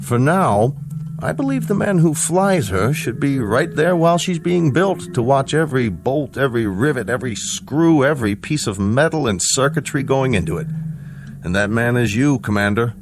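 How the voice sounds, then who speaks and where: An actor playing a measured, grave older scientist in a radio drama, speaking precisely and formally with a fatherly authority. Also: medium pitch, animated, over music, close to an everyday voice. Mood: businesslike. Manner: precise, deliberate, formal, explanatory. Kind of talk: radio drama acting, deliberate dialogue.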